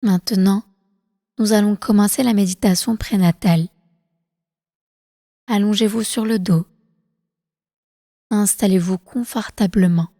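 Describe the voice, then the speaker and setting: A woman leading a guided relaxation meditation as instructor, calm and soothing, with slow, deliberate phrasing. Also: mid-range voice, no room sound, clean studio sound, in a large hall. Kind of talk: guided prenatal meditation, yoga nidra.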